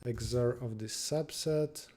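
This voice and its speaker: A man with a mellow, darker tone talks through programming problems on a live stream, thinking aloud as he goes. He breaks off now and then to answer chat messages. At times he sinks into quiet muttering while he works out the details. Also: mid-range voice, measured pace.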